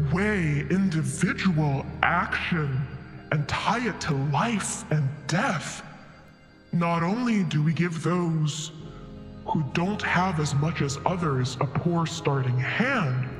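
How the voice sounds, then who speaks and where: A man performs a serene celestial creature who speaks very slowly and formally, pausing between phrases. The voice is fairly high and swims in cavernous reverb, with music underneath. It sounds wise and patient, made for a fantasy roleplay game. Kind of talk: tabletop roleplay in character. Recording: heavily echoing reverb voice over background music.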